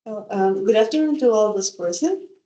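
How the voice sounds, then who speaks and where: Young woman reading formally, mellow. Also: medium pitch, animated, moderate pace, dull audio. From Chile. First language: Spanish. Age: young adult. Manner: careful, formal wording. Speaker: seminar presenter. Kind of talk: reading a prepared presentation. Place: seminar venue. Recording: echoey conference room.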